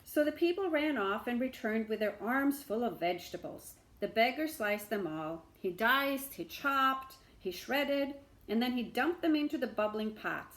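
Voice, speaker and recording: A woman's voice: on the high side, lively intonation, measured pace, hardly any pauses, a bit bright. A woman storyteller reading a children's book aloud expressively, with asides to her listeners.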